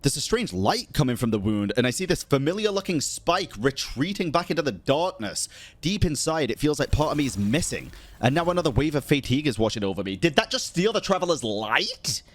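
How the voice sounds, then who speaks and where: A man's voice: a little high, sing-song, brisk. A man live-streaming a video game, reacting in a jokey, slangy, exclamatory way and reading dialogue aloud. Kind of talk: live game commentary with dramatic reading of on-screen text.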